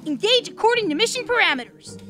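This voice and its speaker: A woman's voice: extremely high pitch, expressive, quick pace, bright, forceful tone, faint music behind, exaggerated voice. A woman voicing an impish, pushy young rat boy with cheeky, bossy slang in a theatrical audiobook. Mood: excited. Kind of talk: theatrical audiobook character acting.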